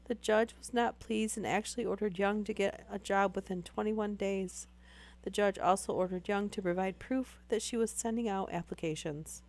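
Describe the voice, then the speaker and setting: A woman's voice: medium pitch, fairly even intonation, normal speed, a little mellow. A young woman chatting casually with live viewers while losing her voice to a sore throat, rambling with many fillers. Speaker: streamer.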